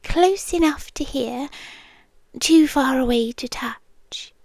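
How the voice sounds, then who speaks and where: A British woman playing a shy, obsessive yandere girlfriend in an intimate ASMR roleplay, soft, breathy and hesitant, often slipping into a whisper. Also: high voice, no room sound, heavily acted. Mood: dreamy, obsessive. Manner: slow, hesitant, confiding. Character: shy, obsessive young yandere girlfriend, sweetly intense and nervous.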